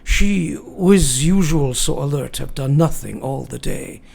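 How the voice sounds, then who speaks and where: An elderly foreign professor who hunts vampires, grave and devoted. His voice sits fairly high and is thick with creak. He speaks in solemn, broken sentences, as in a gothic radio drama. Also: darkish tone, full character voice. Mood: solemn, fearful. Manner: foreign-sounding broken grammar, solemn, deliberate. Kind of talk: radio drama acting, dramatic journal narration.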